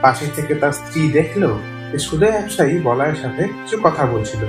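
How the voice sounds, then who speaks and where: A man's voice: fairly high pitch, expressive, brisk. A man reading scripted narration for a children's moral story cartoon. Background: music.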